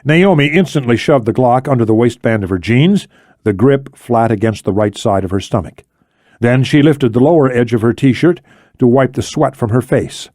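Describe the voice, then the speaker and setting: A man's voice: mid-range voice, normal speed, a little mellow, no echo, studio quality. A man reading scripted thriller narration as an audiobook narrator.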